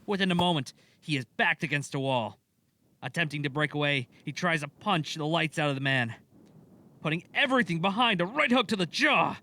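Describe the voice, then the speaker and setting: Male audio drama narrator: slightly high, bright, forceful tone for dramatic scripted storytelling. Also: animated, fairly quick, slight background noise.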